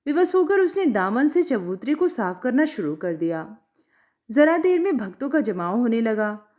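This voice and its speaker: A woman narrates a written story in a high voice. Her delivery is expressive and very lively in intonation, as in an audiobook performance. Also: normal speed. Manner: expressive, acts out dialogue. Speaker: storyteller reading short fiction aloud.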